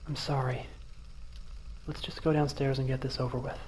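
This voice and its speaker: A man's voice: medium pitch, level tone, moderate pace, darkish tone, natural voice, poor audio. A man playing a blunt, skeptical young adult son in an audio drama, wry but dutiful. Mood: contrite. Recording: faint ambient noise behind.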